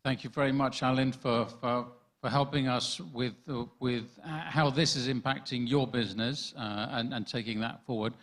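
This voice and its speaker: A man's voice: mid-range voice, fairly even intonation, moderate pace, a bit bright. Courteous male panel moderator. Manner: courteous, hesitant with repeated words.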